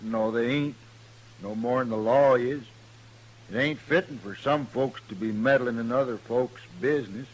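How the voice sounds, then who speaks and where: A man's voice: medium pitch, close to an everyday voice. An actor playing a stubborn, menacing backwoods man with a rustic Ozark accent in a radio Western. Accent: Southern US mountain, Ozark. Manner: blunt, slow-witted, rustic dialect. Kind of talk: old-time radio Western acting. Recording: noisy old radio recording.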